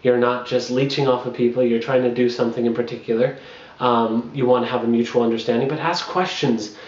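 A man's voice: medium pitch, flat delivery, brisk, darkish tone. A young man in his twenties giving casual advice straight to camera in a small bedroom, rambling with lots of fillers and restarts, recorded on the camera. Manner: rambling, frequent fillers and restarts, laughs between points. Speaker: travel blogger. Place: small bedroom with bare wall. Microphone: camera on a makeshift tripod. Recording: roomy, dull lo-fi camera audio.

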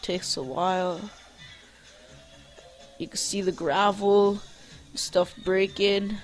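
A gaming tutorial host with a mid-pitched voice takes it slowly. The delivery is informal and rambling, like casual step-by-step help. Music plays faintly behind the voice. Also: fairly even intonation. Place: indoors at a computer.

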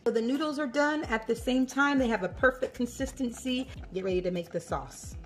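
A woman's voice: on the high side, fairly quick, soft background music. A woman in her thirties hosting a casual home cooking video, chatty and upbeat, talking straight to viewers in her kitchen. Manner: upbeat, chatty, talks to viewers as "you guys". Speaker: cooking vlogger, host. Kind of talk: casual cooking demo chat.

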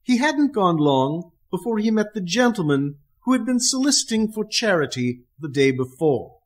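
A man's voice: sits high, sing-song, normal speed. A man narrating a radio play in a dramatic storytelling style.

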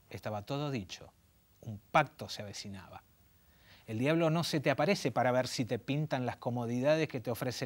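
A man in his forties with an Argentine Spanish accent, hosting and reading a story aloud in an intimate, theatrical way with slow, dramatic pauses. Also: mid-range voice. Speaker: host and narrator. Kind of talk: late-night story reading. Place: small dim studio set.

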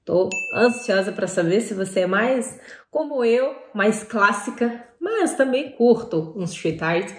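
A woman's voice: a little high, animated, slow pace, background noise. Woman vlogger in an echoey room. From Paris, France. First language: French. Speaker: vlog host. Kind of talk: casual talk to camera. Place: small bright room indoors.

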